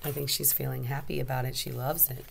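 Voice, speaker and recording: A woman teacher reading a storybook aloud to young children, expressively, pausing to explain and ask simple questions. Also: rumbling low, little pitch movement, vocal fry, a little hiss or hum, low-quality recording.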